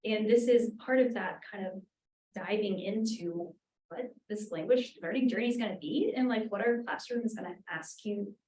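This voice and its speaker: A woman's voice: mid-range voice, normal speed, a little mellow, a little hiss or hum. A woman instructor in a roundtable discussion, speaking thoughtfully with many "um"s and "like"s. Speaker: graduate language instructor. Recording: roomy, from far off.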